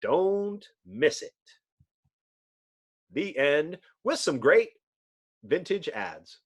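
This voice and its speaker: A man reads comic-book narration with theatrical flair. His voice sits on the high side and swoops dramatically, giving a bombastic storytelling delivery. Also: very animated, very slow, slightly rough. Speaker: narrator, host.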